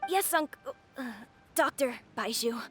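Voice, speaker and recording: A woman's voice: high voice, slow pace. A woman voicing an earnest, polite and worried little village boy in a video game, childlike and a bit hesitant. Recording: faint music behind the voice.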